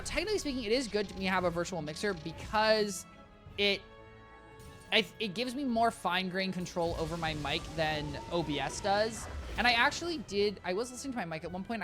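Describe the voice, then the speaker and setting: A game streamer with a high, squeaky voice talks to chat in a loose, rambling way, starting sentences over and correcting themselves. Their pitch jumps up and down a lot. Soft game music plays quietly underneath. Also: animated, fast talker. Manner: rambling, self-correcting and chatty, often addresses chat as guys. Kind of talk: casual live-stream chat while gaming. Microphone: desk mic with compressor.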